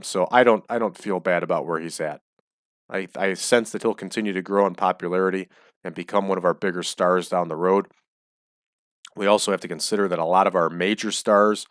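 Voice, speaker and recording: Male let's-play host, low and close, chatty, voice slightly dry. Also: dry recording. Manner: chatty, explains choices as he goes. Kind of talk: casual game commentary. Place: small home room.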